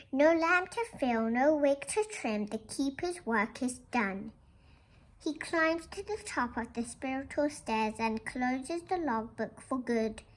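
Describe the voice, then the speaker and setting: High-voiced child reading a storybook aloud. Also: poor audio. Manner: expressive, sing-song repeated greetings, addresses young listeners. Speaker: child presenter reading picture books aloud. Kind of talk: storybook read-aloud with chatty asides.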